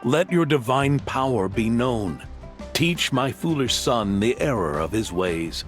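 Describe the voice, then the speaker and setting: An actor playing a proud, indignant old traditionalist innkeeper in a video game, grumbling emphatically. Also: male voice, medium pitch, lively intonation, unhurried, soft background music, lightly acted. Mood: aggrieved, pious. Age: older.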